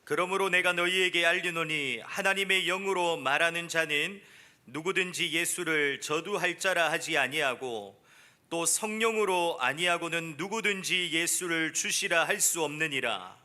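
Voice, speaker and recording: A man reading Bible verses aloud formally at a church service, in a measured, declamatory way. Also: a little high, sharp tone. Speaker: scripture reader at church.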